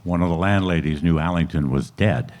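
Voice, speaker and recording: A man's voice: sits low, fairly quick, a little hiss or hum, close to an everyday voice. A man playing a clipped, unflappable police sergeant in an old-time radio crime drama. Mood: terse, firm. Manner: clipped, deadpan, firm. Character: terse, unflappable male police detective sergeant.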